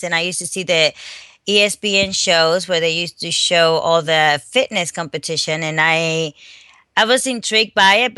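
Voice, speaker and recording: A middle-aged woman from the Dominican Republic, a fitness coach speaking haltingly with many restarts in a phone interview. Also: on the low side, sharp tone, clear, resonant tone, dry recording. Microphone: phone call.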